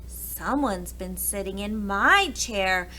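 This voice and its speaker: A woman voicing a worried, motherly bear in a children's storybook, speaking with breathy dismay. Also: mid-range voice, expressive, takes it slowly, sharp tone, slight background noise, rough recording. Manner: gasping, dismayed. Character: medium-sized worried motherly Mama Bear.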